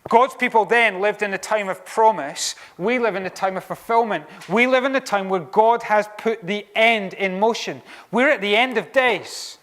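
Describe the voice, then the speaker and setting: A Scottish man preaching a sermon in an animated, conversational way, with wry asides. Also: high voice, moderate pace, few pauses. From Scotland.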